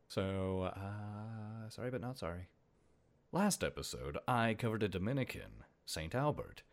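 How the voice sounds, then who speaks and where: A man hosting a casual podcast, hoarse today, chatty and self-deprecating with playful asides. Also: on the low side. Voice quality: gravelly. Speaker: podcast host. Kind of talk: casual solo podcast about saints.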